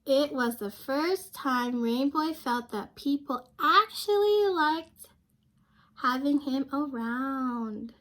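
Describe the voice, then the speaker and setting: A woman's voice: high voice, animated, slow, a bit bright. A young woman reading a storybook aloud to children, speaking simply and expressively and prompting her listeners with questions. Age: young adult.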